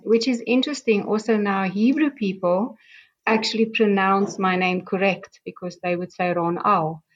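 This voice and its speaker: A middle-aged woman from South Africa, a native Afrikaans speaker with a South African accent, slowly telling her life story as a guest, with many pauses and ums. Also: medium pitch. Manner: slow, halting, many ums and restarts. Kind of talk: reflective personal storytelling. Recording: dull lo-fi sound.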